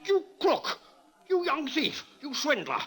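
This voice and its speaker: An actor playing an excitable, irascible old racetrack bettor who blusters and splutters in a radio drama. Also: male voice, extremely high pitch, slow, full character voice. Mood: outraged. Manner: blustering, spluttering, repeats himself. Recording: vintage radio recording with crowd behind.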